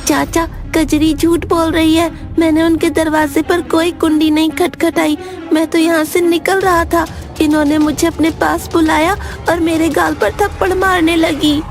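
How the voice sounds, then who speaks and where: A woman's voice: extremely high pitch, little pitch movement, moderate pace, faint music behind. A woman voicing an aggrieved village boy in a cartoon, pleading innocence. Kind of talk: cartoon voice acting.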